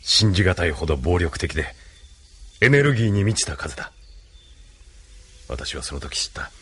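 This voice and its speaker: 低く威厳ある軍の大佐. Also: male voice, deep voice, very animated, a bit bright, husky, full character voice. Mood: grandly reflective. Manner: measured, authoritative. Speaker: voice actor. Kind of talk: anime audio drama acting.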